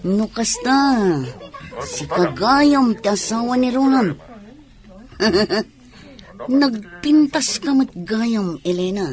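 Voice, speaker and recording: An actress playing an outspoken, teasing woman in a radio comedy drama, with an expressive, emphatic delivery. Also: on the high side, drawn-out words, pauses often. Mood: teasing, friendly.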